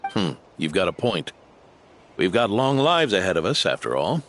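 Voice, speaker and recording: An actor playing an aging, fatherly veteran knight in a video game, gravelly and grave, speaking like a measured storyteller. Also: low-pitched, big pitch swings, fairly bright tone, a little hiss or hum, full character voice. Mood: calm, encouraging. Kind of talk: video game character acting.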